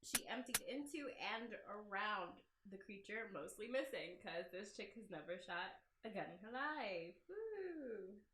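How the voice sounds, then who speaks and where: A woman doing a casual let's play, reading game text aloud and commenting in an expressive, slangy, giggly way. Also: medium pitch, measured pace, few pauses, reverberant, background noise. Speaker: game developer playing through her own game.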